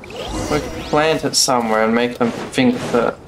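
A man's voice: medium pitch, steady tone, a little slow, noisy background. A young man giving casual live commentary as he streams a video game. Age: young adult. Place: small room indoors at home. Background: loud game music.

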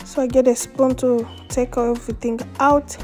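A woman's voice: high voice, little pitch movement, normal speed, warm tone, soft background music. A woman who speaks English as her second language, chatting casually through a home cooking vlog, laughing often and searching for words. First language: French or Swahili. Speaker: cooking vlogger. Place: outdoors on a patio and in a home kitchen.

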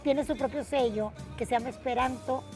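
A middle-aged Mexican woman reporting on a TV entertainment show, gossipy and animated, full of playful slang. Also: sits high, fairly quick. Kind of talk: lively TV entertainment chat. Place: TV studio. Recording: music bed under studio talk.

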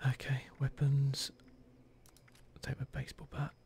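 A man softly giving casual ASMR commentary over a video game, often slipping into a whisper, with a self-deprecating manner. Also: medium pitch, completely flat delivery, normal speed, a little hiss or hum. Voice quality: soft-spoken, often whispery. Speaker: gaming video host.

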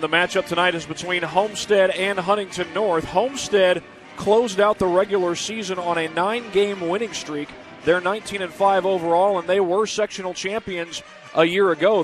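A young man giving steady pregame basketball commentary as the broadcast announcer, sometimes correcting himself. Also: high voice. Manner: steady informative delivery, self-correcting. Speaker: play-by-play announcer.

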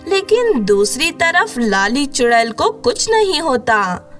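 A woman's voice: sits high, big pitch swings, slow, a bit bright, faint music behind. A woman reading scripted narration for a cartoon story.

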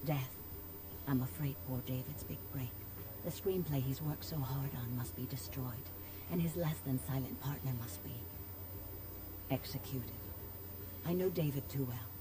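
A woman's voice: extremely low pitch, normal speed. Seductive, scheming vampire woman, noisy recording. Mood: grave, intimate. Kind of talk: video game voice acting.

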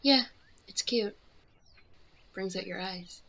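A woman's voice: medium pitch, slow pace, pushed and bright, dull audio, full character voice. A woman playing an aloof, dismissive teenage villain girl in a fan dub, cool and sardonic. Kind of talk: amateur fandub acting.

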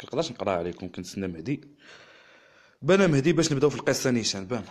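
Fast-talking Moroccan man hosting live. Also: mid-range voice, sing-song. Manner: quick, chatty, calls out to viewers and guest. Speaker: livestream host. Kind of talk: casual live chat and storytelling.

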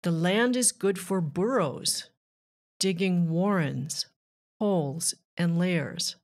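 A woman reading a rhyming children's book aloud with measured, deliberate phrasing. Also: fairly low pitch. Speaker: children's author and narrator.

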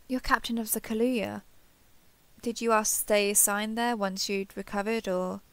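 A woman performs a female alien starship captain in a sci-fi audio roleplay. Her voice sits at a medium pitch with plenty of fry, recorded very dry. She is earnest and sentimental, speaking as if to someone beside her. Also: fairly bright tone, dry recording. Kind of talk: roleplay audio drama, one-sided dialogue.

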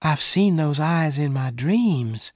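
Man playing a soft, wistful young woman. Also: on the high side, animated, no room sound, voice pushed up. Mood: wondering. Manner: slow, soft, wondering. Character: wistful, timid young woman, tender and hushed. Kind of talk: dramatised audiobook dialogue.

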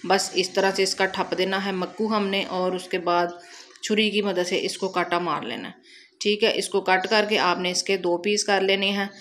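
A woman's voice: medium pitch, fairly even intonation, normal speed, a bit bright. A woman with a Pakistani Punjabi accent giving a chatty, casual recipe voice-over as a cooking channel host. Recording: noticeable background noise, home recording.

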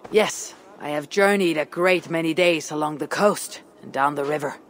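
An actress playing a calm, direct Norse warrior woman in a video game cutscene. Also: sits low, moderate pace, in a large hall, slight background noise, natural voice. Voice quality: plain, direct.

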